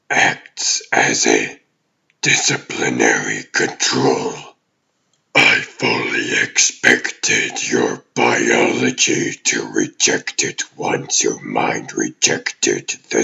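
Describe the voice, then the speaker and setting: A man's voice: lively intonation, sharp tone, lo-fi sound, heavily acted. A man voicing a menacing exiled alien demon warlord in a comic dub, grandiose and vengeful, with a growling, pompous delivery. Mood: cold, imperious. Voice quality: very deep, gravelly growl. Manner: pompous, slow theatrical villain speech.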